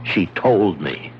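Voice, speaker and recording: An actor playing a troubled, obsessed scientist with grim resolve and a weary, earnest manner in a 1970s radio drama. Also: male voice, low voice, husky, heavily acted. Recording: dull, noisy 1970s radio recording.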